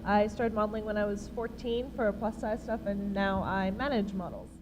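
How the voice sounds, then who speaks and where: A woman's voice: medium pitch, moderate pace. Woman in her twenties, noisy recording. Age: 20s. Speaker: interview guest, model manager. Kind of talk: conversational explaining. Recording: clear background room noise.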